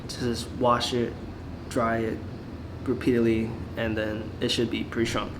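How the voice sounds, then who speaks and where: A man's voice: medium pitch, flat delivery, a little slow, background noise. A young man with a cold and a fading voice, giving casual clothing-brand advice in a laid-back, rambling way. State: sick, losing his voice. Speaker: clothing brand owner and YouTuber.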